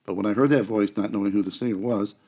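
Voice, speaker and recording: Gravelly man in his sixties. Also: lowish voice, steady tone, fast, warm tone. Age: 60s. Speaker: guest, church member. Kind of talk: casual chat, reminiscing. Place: small studio round a table. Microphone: close podcast microphone.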